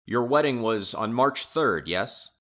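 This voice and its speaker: A man's voice: mid-range voice, lively intonation, a little slow, a bit bright. An actor playing a patient, puzzled man in authority who questions people formally in an audio drama. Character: measured, puzzled estate arbiter hearing a dispute. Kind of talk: acted audio drama dialogue.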